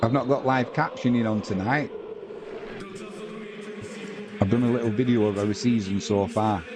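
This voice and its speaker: A man from England giving relaxed play-by-play of a football match and chatting with viewers on a live stream. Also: medium pitch, fairly quick, noisy background. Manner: conversational play-by-play, reading out viewer comments. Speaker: watch-along commentator.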